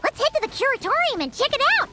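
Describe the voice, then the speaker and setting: A woman voicing a tiny, excitable female fairy companion in a video game, with a squeaky childlike voice. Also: fast talker, over loud music. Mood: curious, eager. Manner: exclamatory, refers to self in third person.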